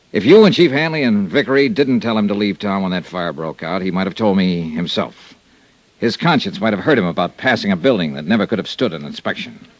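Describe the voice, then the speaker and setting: An American actor playing a hard-boiled insurance investigator in an old radio serial, clipped, dry and blunt. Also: male voice, lowish voice, dry recording, poor audio. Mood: probing. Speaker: lead actor and narrator of radio detective serial. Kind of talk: old-time radio detective acting and narration. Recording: old radio broadcast recording.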